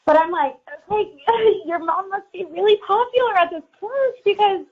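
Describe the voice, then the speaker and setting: A woman's voice: very high voice, moderate pace, phone-quality sound. A woman playing a chatty, bewildered caller on a radio show, rambling casually with lots of "like". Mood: puzzled, suspicious. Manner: rambling, filler-heavy, frequent "like". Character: chatty, bewildered young woman suspicious of her boyfriend. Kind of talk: casual phone-in chat.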